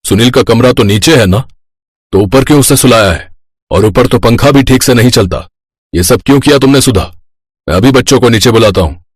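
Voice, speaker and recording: An actor playing a stern, fair-minded father of grown sons, with a husky voice. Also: mid-range voice, a little fast, dry recording, studio quality, natural voice. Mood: indignant.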